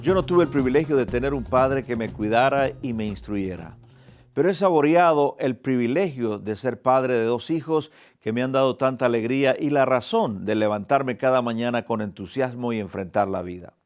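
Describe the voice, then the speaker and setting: A man's voice: medium pitch, normal speed, faint music behind. Earnest man, counselor addressing listeners. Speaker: host and family counselor. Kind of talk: reflective monologue and interview.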